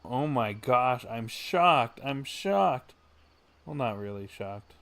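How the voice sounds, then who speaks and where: Casual male livestreamer, medium pitch. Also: expressive, takes it slowly, vocal fry, a bit bright. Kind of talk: casual live gaming commentary.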